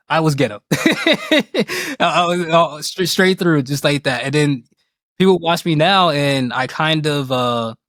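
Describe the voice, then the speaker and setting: A man in a casual interview, talking candidly and reflectively in colloquial language. His voice sits at an ordinary male pitch. The sound is dry, with no room around it. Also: a bit bright, no room sound. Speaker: guest, game streamer.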